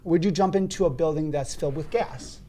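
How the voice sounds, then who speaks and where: Loud, emphatic man teaching CPR. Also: fairly high pitch, brisk. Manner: frequent restarts while explaining. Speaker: CPR instructor. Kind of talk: instructional explanation and demonstration. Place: podcast studio.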